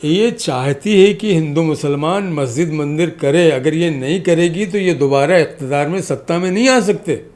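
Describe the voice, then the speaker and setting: A man's voice: a little high, moderate pace, hardly any pauses, a little mellow. A man talks as a podcast guest. He argues like an orator, stressing his points and stacking parallel phrases for weight. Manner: emphatic, oratorical, repeats phrases in parallel for effect. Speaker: podcast guest, community activist. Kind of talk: impassioned political interview talk.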